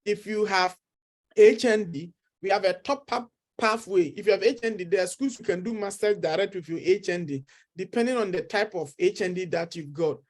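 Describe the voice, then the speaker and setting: A man's voice: high voice, normal speed. A middle-aged man with a Ghanaian accent, a study-abroad consultant earnestly encouraging viewers and repeating his key points. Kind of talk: persuasive talk to camera.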